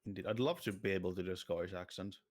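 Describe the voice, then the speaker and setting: A man's voice: deep voice, rapid-fire, a little mellow. A man with a Finnish accent, a native Finnish speaker, chatting casually with friends while streaming a game, with dry, sweary banter. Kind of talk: casual chat while gaming.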